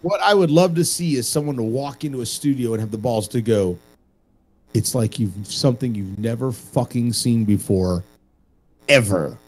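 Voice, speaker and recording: A man's voice: mid-range voice, normal speed, darkish tone. A man in a casual panel chat, talking in an emphatic, opinionated way and swearing freely. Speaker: podcast panelist.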